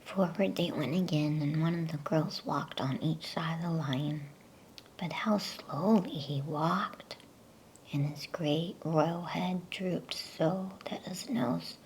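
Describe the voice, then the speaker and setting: A woman softly whispering an ASMR bedtime story as storyteller, hushed, soothing and unhurried. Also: on the low side, slight background noise, poor audio.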